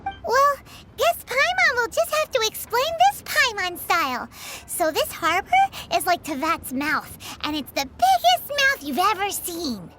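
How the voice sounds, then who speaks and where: Very high squeaky fairy guide, swooping and excitable. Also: female voice, unhurried, very noisy, full character voice. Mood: playful, smug. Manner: refers to self in third person, squeaky, excitable. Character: tiny childlike fairy guide, chatty, easily exasperated. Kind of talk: animated game voice acting. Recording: background music over the voice.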